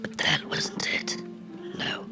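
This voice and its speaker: A man voicing a bitter, menacing cartoon character in a slow, brooding horror ASMR monologue, whispering in a breathy, gravelly voice. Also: close to an everyday voice. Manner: slow, deliberate, brooding storytelling with dramatic pauses. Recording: whispered with music underneath.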